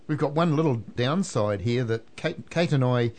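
A New man hosting a radio show, jovial and teasing in relaxed chat. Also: medium pitch, moderate pace. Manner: jovial, teasing, laughs often.

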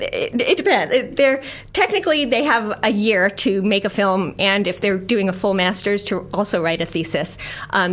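Middle aged american woman on a radio show, excited, lots of ums and little laughs. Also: medium pitch. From United States. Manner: enthusiastic, laughs often, many fillers and restarts. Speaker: guest, science filmmaker and lecturer. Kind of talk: radio interview.

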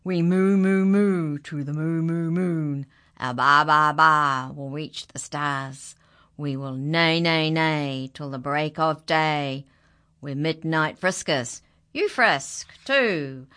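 A woman's voice: very high voice, expressive, very slow, a little mellow, pitched above the performer's own voice, full character voice. Woman chanting as singing farm animals. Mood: jubilant. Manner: sing-song, chanting animal sounds.

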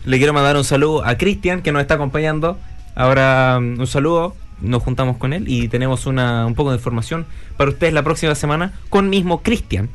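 A man's voice: medium pitch, fast talker. A man from Chile co-hosting a radio show, with a Chilean accent, joking and laughing easily. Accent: Chilean Spanish. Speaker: radio co-host. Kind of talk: casual chat.

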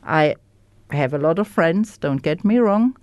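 A woman with a German accent, a native German speaker, candidly sharing her recovery story as a guest in an interview, pausing to search for words. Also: low-pitched, animated, a little mellow, dry recording. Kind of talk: personal testimony in an interview.

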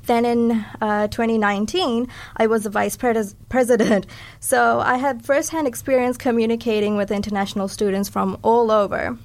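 A woman's voice: fairly high pitch, steady tone, moderate pace, darkish tone. A young woman from New Zealand with Sri Lankan roots, hosting a casual interview podcast in an upbeat, informal way. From Sri Lanka, raised in New Zealand from age eight. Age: young adult. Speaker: podcast host.

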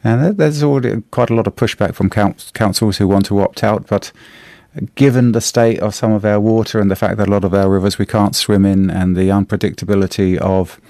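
A middle-aged man with a New Zealand accent, a slightly hoarse radio host chatting through the day's newspaper, halting with many fillers and restarts. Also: fairly low pitch, steady tone, warm tone, dry recording, clean studio sound. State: a bit hoarse. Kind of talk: casual radio chat reviewing the newspaper.

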